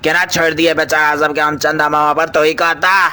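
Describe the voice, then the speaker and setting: A man's voice: on the high side, flat delivery, normal speed, sharp tone, smooth, some chatter behind. A man with a Maithili accent voicing a pompous, easily exasperated scientist boss in a comic cartoon, boastful and scolding. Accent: Maithili, Mithila region. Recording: music bed under the voice.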